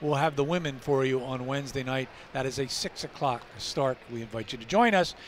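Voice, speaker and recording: A man's voice: mid-range voice, brisk, a little hiss or hum. An older man hosting a basketball broadcast wrap-up, reading out stats and results on a headset mic. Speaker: play-by-play announcer and host. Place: courtside in a college basketball arena.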